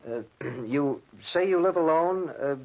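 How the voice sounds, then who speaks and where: An actor in a 1940s radio drama playing a stranded hunter who is polite but wary, speaking in a hesitant, placating way. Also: male voice, a little high, unhurried. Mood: wary, probing. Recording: dull, muffled 1940s radio recording.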